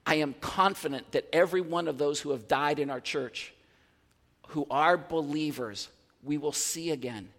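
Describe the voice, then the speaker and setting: High-voiced pastor in his fifties preaching. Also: long reverb tail. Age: 50s. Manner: animated, conversational preaching with restarts and asides. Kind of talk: sermon. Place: large church hall.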